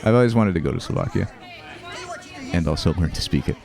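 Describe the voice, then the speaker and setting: A man hosting a show, talking casually and reflectively over home movies, with fillers, restarts and soft laughs. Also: sits low, expressive, fast, creaky, a crowd in the background. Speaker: host.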